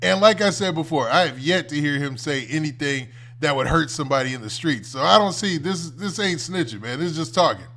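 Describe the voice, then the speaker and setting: A man's voice: a little high, brisk, hardly any pauses, a bit bright. An American man hosting a commentary stream, reacting off the cuff in a casual, colloquial, conversational way. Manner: conversational, colloquial, often ends phrases with "man". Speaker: host of a commentary livestream.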